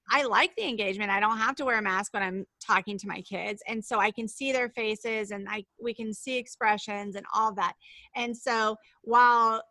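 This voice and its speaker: A woman's voice: fairly high pitch, sharp tone, dry recording, poor audio. A woman co-hosting a podcast in casual chat, upbeat and agreeable. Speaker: podcast co-host, teacher.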